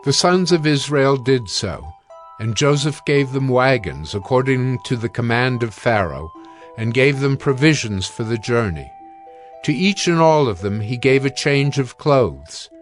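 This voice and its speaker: A man narrating scripture in a measured, solemn reading. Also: medium pitch, lively intonation, faint music behind.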